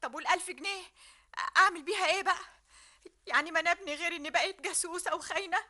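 A shrill scheming spy girl. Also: rapid-fire. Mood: panicked. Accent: Egyptian. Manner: theatrical, swings from coaxing hush to shrill panic. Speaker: radio drama actress. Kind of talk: 1960s Egyptian radio drama acting.

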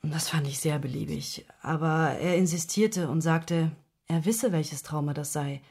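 An actress in a radio play playing a distressed woman who confides intimate matters in a halting, emotionally wounded way. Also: deep voice, gravelly, darkish tone, husky, full character voice. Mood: confiding, troubled. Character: distressed woman confessing her secret love affair to investigator.

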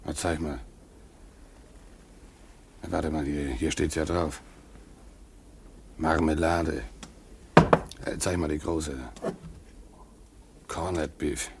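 A man's voice: very deep voice, flat delivery, drawn-out words, long pauses, exaggerated voice. A man playing a stolid, fatalistic ship stoker in a German radio play, laconic and colloquial with dry irony. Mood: curious. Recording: faint background noise on radio recording.

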